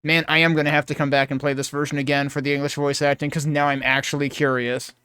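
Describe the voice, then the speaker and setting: A man's voice: a little high, little pitch movement, fast, bright, forceful tone, clear, resonant tone, dry recording, studio quality. A man giving casual, rambling playthrough commentary as he thinks aloud. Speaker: game streamer.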